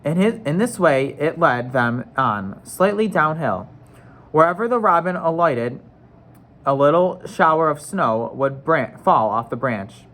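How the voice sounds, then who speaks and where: A man's voice: fairly high pitch, bright, forceful tone. A man narrating a children's novel in a read-aloud style, acting out the dialogue.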